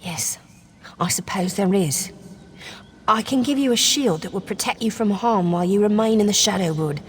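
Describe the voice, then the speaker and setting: A woman's voice: medium pitch, moderate pace, a little hiss or hum, natural voice. A woman playing the grateful, solemn ghost of a long-dead villager in a video game. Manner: earnest, solemn. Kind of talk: video game character dialogue. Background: music.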